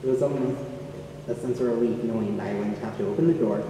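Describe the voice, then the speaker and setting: A young man acting a wistful child of an absent father, in a measured, reflective stage monologue. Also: lowish voice, close to an everyday voice. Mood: wistful, resigned. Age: teen or young adult. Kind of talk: high school stage monologue. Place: large indoor hall, stage performance. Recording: very echoey hall, distant, noisy.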